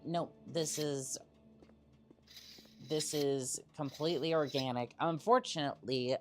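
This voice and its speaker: A woman streaming a game and chatting with viewers, her voice strained and husky from a cough, talking in halting, rambling bursts. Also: deep voice, soft background music. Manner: rambling, halting, many fillers and restarts. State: sick with a cough. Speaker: VTuber streamer. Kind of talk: casual live-stream chat while gaming.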